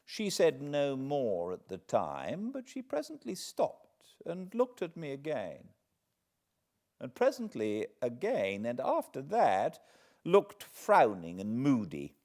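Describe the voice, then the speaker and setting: A British man reading a classic novel aloud as an audiobook narrator, with expressive, deliberate phrasing. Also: medium pitch. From Britain. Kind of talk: dramatic literary storytelling, read aloud.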